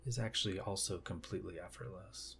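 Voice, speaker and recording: A man leading a guided meditation, speaking gently and unhurriedly in short phrases with long pauses. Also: sits low, fairly even intonation, a little hiss or hum.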